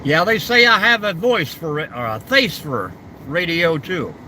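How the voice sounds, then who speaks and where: A man's voice: on the high side, very animated, unhurried, background noise. An older man hosting a casual live stream, answering viewer comments in an easygoing, folksy way and reminiscing about the old days.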